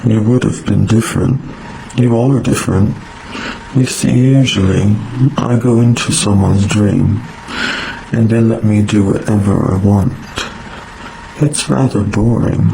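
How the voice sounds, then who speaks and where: A man acts a powerful incubus from an audio drama. His voice is creaky and gravelly, his phrasing a little formal and flirtatious, with a protective streak. A faint noise sits behind him. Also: on the low side, fairly even intonation, unhurried, dark tone, poor audio. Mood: smooth, teasing. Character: male incubus dream demon, flirtatious, powerful, gently protective. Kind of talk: fan audio drama acting.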